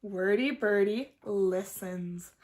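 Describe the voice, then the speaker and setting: A woman reading a picture book aloud as a teacher, expressive and putting questions directly to young listeners. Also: medium pitch, takes it slowly. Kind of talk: animated children's read-aloud with questions.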